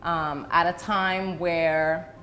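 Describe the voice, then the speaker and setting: Slow, measured woman explaining, lowish voice. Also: fairly even intonation, bright, forceful tone, slight background noise. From the Bahamas and the UK. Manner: measured, deliberate, pausing to choose words. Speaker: interview guest, educator. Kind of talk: explanatory interview talk.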